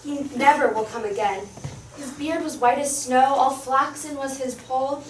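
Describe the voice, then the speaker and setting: A woman's voice: high voice, normal speed, a little hiss or hum, lightly acted. A woman acting a young noblewoman driven mad by grief, drifting between speech and snatches of song, recorded from far off in an echoey hall. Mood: distracted. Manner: wandering, sings snatches of song. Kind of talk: stage acting of verse drama.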